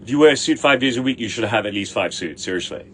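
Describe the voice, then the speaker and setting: A man's voice: mid-range voice, lively intonation, fast, a little hiss or hum. Brash, blunt man swearing freely. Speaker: tailor answering viewer questions. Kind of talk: casual livestream Q&A.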